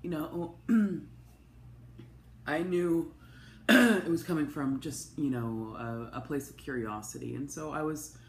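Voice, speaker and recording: A woman, hoarse from a cold, giving a chatty, open personal update to camera with plenty of ums and asides. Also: deep voice, slow. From Canada. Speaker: vlogger. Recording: echoey room with faint noise.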